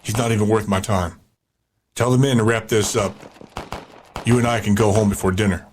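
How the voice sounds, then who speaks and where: A man's voice: fairly low pitch, steady tone, brisk, a little hiss or hum, lightly acted. A man playing a terse, ruthless warlord commanding troops in an audio drama. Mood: cold, dismissive.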